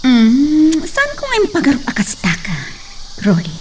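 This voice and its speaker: A woman's voice: sits high, huge pitch swings, a little slow, background noise, heavily acted. An amateur actress playing a smug young woman who taunts her rival and drops English words into her talk. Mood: taunting. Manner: taunting, mixing in English, laughing. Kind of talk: amateur drama acting.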